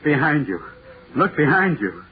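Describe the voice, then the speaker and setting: A man's voice: a little high, big pitch swings, a little slow, over a noisy crowd. An actor playing a haunted young male writer in a 1940s radio horror drama, repeating his phrases in a breathless, pleading way. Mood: terrified. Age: young adult. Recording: tinny noisy old radio recording.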